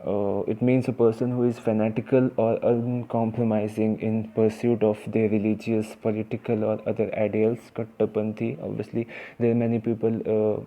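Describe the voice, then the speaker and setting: A man's voice: on the low side, flat delivery, normal speed, few pauses, lo-fi sound. A man with an Indian accent teaching English vocabulary in a casual, hesitant way, with many fillers and restarts. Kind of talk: informal vocabulary lesson.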